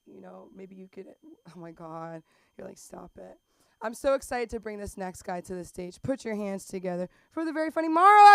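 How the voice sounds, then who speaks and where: A woman's voice: medium pitch, gravelly, a bit bright, no echo. A young adult woman hosting an open mic, chatting in a rambling way with self-conscious asides. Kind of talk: stand-up hosting. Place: comedy club stage.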